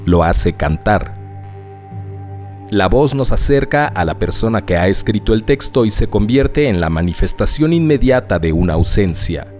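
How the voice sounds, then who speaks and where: A man reads a quoted passage in a very low voice. His delivery is solemn and unhurried. Soft music plays behind him. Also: lively intonation. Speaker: reader of a quoted text. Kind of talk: scripted reading.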